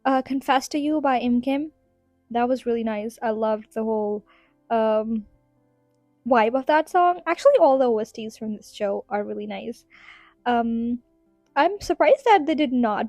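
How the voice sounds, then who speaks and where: Casting: higher-voiced woman, rambling podcast host, giggly, voice tiring, dry close mic. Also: moderate pace, a little mellow, dry recording, faint music behind. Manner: chatty, giggly, rambling with fillers. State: tired, strained voice. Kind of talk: casual solo podcast ramble.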